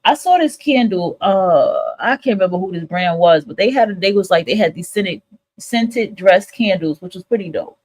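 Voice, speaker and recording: Woman, mid-pitched voice, casual livestream host, candid, emphatic, repetitive phrasing, salty language. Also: animated, moderate pace, darkish tone. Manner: candid, emphatic, repeats phrases, swears freely. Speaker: host of a spiritual advice livestream. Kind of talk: casual, informal motivational monologue to listeners.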